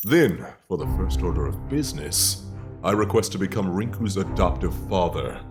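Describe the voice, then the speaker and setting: A man's voice: low voice, lively intonation, normal speed, music in the background. A man playing a proud, menacing, volatile warlord king in a theatrical comic dub, with a grandiose, sardonic delivery. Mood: scheming.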